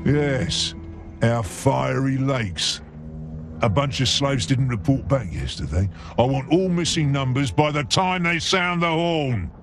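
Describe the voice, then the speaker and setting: An actor voicing a harsh, bullying orc overseer in a video game, barking out orders. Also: male voice, mid-range voice, animated, slow, music in the background. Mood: threatening.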